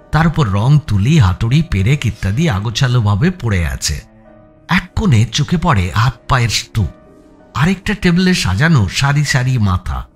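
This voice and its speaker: A man narrating a suspense audio story as its protagonist, in a measured, dramatic storytelling style. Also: medium pitch, slightly rough. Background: background music.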